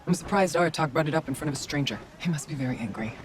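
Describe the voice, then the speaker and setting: A woman playing a solemn, reserved spiritual tribeswoman in a video game, speaking in a measured, guarded, grave way. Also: low-pitched, animated, background noise. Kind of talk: restrained video game acting.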